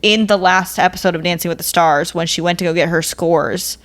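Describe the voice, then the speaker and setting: A woman's voice: on the low side, fairly even intonation, brisk. A woman co-hosting a podcast, bantering casually in a blunt, informal, slangy way. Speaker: podcast co-host.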